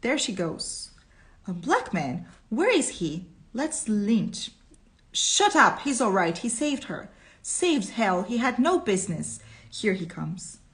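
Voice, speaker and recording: A woman voicing excited, hostile city bystanders in a storybook with clipped exclamations. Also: mid-range voice, slow.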